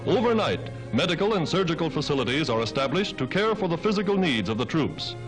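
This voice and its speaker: An American man reads scripted 1940s newsreel narration in a dramatic, declamatory way. His voice sits fairly high and swings widely in pitch, with loud music playing behind him throughout. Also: sing-song, a little fast, low-quality recording. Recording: vintage 1940s newsreel soundtrack.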